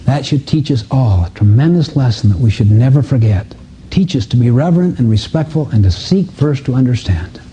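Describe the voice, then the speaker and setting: A man's voice: mid-range voice, animated, a little fast, warm tone, slight background noise. A man giving a motivational seminar lecture, telling anecdotes in an earnest, emphatic way. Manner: earnest, emphatic, storytelling delivery. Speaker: seminar speaker. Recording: dull muffled lo-fi audio.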